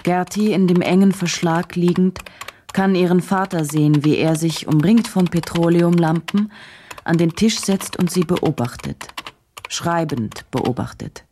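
An actress playing a journalist who narrates a case in a sober, detached, measured way for a radio drama. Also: female voice, on the low side, mellow, close to an everyday voice. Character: woman journalist soberly reconstructing a dark case. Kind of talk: German radio drama, present-tense report narration. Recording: clear noise, typing clicks behind.

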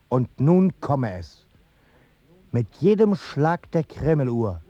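A wry male storyteller in a radio play. He is unhurried, with animated intonation and a mellow tone, recorded dry and slightly dull. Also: on the high side, no echo. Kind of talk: scripted radio play narration.

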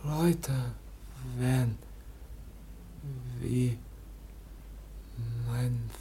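An actor playing an exhausted, bewildered teenage foundling in a radio play, speaking in halting fragments. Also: male voice, mid-range voice, dark tone. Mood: dazed, weary. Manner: halting, fragmented, repeating the same few words. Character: exhausted, bewildered foundling youth of seventeen, barely able to speak. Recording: noisy old radio play recording.

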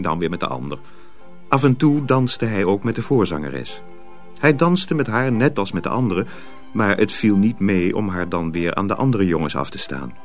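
A man narrating a radio drama as a scripted storyteller. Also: on the low side.

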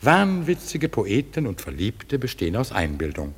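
An actor playing a stately, skeptical duke in a classic radio play, declaiming verse in a measured way. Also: male voice, fairly low pitch, wildly swooping pitch, breathy edge, long reverb tail, exaggerated voice. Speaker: Theseus.

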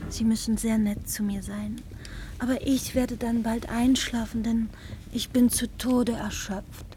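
Woman playing wistful young lover, high-pitched, plaintive, weary, radio drama, faint background noise. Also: steady tone, measured pace, mellow, natural voice. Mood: relieved, weary.

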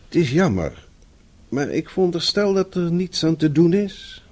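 An actor playing a blunt but concerned office boss in a Dutch radio play, formal and probing, with a husky voice. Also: male voice, fairly high pitch, big pitch swings, a little slow, a little mellow. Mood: stern, concerned.

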